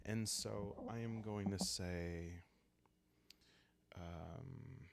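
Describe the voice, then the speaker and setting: A man with a very low voice and plenty of gravelly fry tells an improvised roleplaying story in a calm ASMR style. He hosts alone, musing and hesitating as he works out each turn. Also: drawn-out words. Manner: hesitant, musing, thinking aloud. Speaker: solo roleplaying game host.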